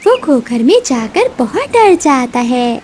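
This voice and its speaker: A woman's voice: high-pitched, big pitch swings, slow pace, a little mellow, a little hiss or hum. A woman narrating a scripted children's cartoon story.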